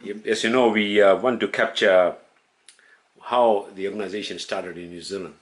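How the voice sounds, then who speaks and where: A man reading a formal tribute introduction in a measured way, then opening an interview. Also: fairly low pitch, steady tone.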